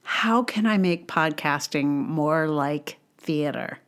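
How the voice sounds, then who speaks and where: A woman hosting a podcast, reading her own personal essay aloud in a measured, deliberate way with casual asides. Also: sits low, animated. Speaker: podcast host and writer.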